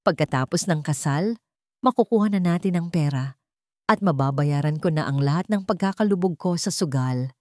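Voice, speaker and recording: A woman's voice: deep voice, expressive, moderate pace, dry recording, clean studio sound. A woman narrator voicing a scheming, smug young gold-digger fiancée in a melodramatic story. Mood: smug, gloating.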